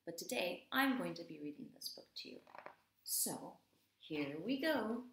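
Woman, 30s, children's teacher and read-aloud storyteller, animated and questioning, with room echo. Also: mid-range voice, a little hiss or hum. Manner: deliberate, questioning, explaining simply to children. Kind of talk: expressive picture-book read-aloud for young learners. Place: small home room, indoors. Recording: roomy home video sound.

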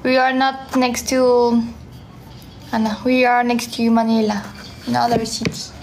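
A young woman from France with a French accent, hosting a live phone stream and answering viewer comments in a soft, friendly way. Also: fairly high pitch, little pitch movement, measured pace, slight background noise. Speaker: livestream host. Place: small room indoors. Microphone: phone held at arm's length.

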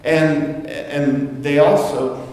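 A middle-aged man preaching a sermon to his congregation in a relaxed, conversational way, with asides and restarts. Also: fairly high pitch, extremely slow. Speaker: pastor. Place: church sanctuary. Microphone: far camera, room sound. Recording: echoey church hall from far off.

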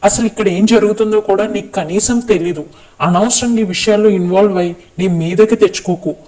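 An actor as a stern young politician in custody, protective of those close to him. His voice is high for a man. He talks in a firm, urgent, commanding way, as in a web drama. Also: quick pace, darkish tone, close to an everyday voice, poor audio. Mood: firm, urging. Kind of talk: web series drama acting.

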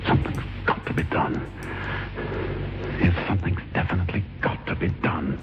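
Dull vintage radio drama with loud music. A man acts a forty-year-old husband with a very deep voice, brooding and peevish, muttering a suspicious soliloquy. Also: normal speed, close to an everyday voice. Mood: anxious, scheming.

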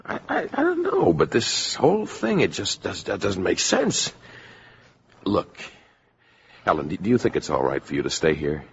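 An uneasy, skeptical American suitor in a 1950s radio play. His gravelly, husky voice swoops widely in pitch on a muffled old recording. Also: wildly swooping pitch, moderate pace, exaggerated voice. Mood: uneasy, puzzled. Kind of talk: 1950s radio drama acting.

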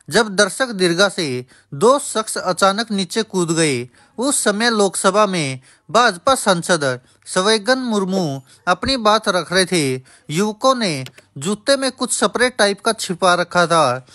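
Male news narrator, high-pitched, formal scripted voice-over delivery. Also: a bit bright.

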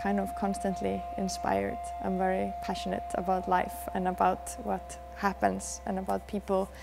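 A soft-spoken Icelandic dancer in her thirties, answering interview questions thoughtfully with many pauses, in a dark dance studio. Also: mid-range voice, completely flat delivery, creaky, loud music behind the voice. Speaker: dancer and choreographer.

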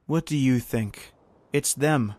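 An actor playing a grim, fatalistic island servant in a radio horror play, speaking tersely, flatly and ominously. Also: male voice, mid-range voice, slow pace. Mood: resigned. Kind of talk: old-time radio horror acting.

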